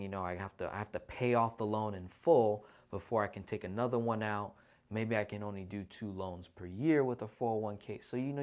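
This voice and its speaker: A man's voice: fairly low pitch, a little mellow. A man hosting a casual livestream finance Q&A, talking persuasively and often checking in with listeners. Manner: conversational, persuasive, often checks in with "right?" and "okay?". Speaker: financial Q&A host.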